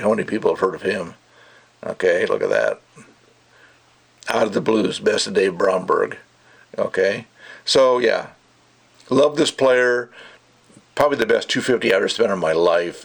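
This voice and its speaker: Rambling man with a creaky voice. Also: on the low side, fairly bright tone. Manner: rambling, opinionated. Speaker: amateur product reviewer. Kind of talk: casual rambling review chat.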